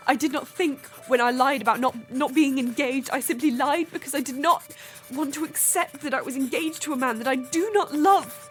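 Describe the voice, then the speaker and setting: A woman playing a sheltered, melancholy young Victorian gentlewoman in a halting diary monologue for radio drama. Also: high voice, close to an everyday voice, rough recording. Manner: wistful, halting.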